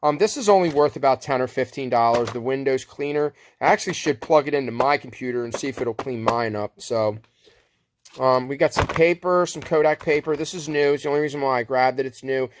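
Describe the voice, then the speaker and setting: A man's voice: on the high side, a little hiss or hum. A man giving a casual, unscripted show-and-tell as a reseller, rambling and correcting himself in laid-back, slangy talk. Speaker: thrift reseller showing a haul.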